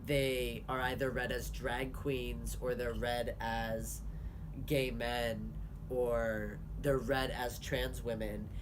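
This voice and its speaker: A young man hosting his vlog, talking candidly in a casual chat with quick asides. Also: mid-range voice, flat delivery, background noise. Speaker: vlogger host.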